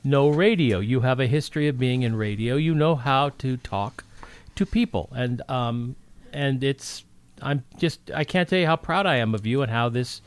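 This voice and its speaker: A man's voice: medium pitch, moderate pace, clear, resonant tone. A man co-hosting a podcast as its producer, chatting casually in an earnest, slightly sheepish sidekick way. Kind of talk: casual chat.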